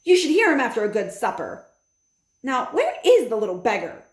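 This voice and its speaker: Storybook read-aloud: angry blustering father, played by a woman, booming and lively. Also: normal speed, reverberant, full character voice. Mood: scornful. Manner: shouting, indignant. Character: blustering middle-aged man, rude and pompous.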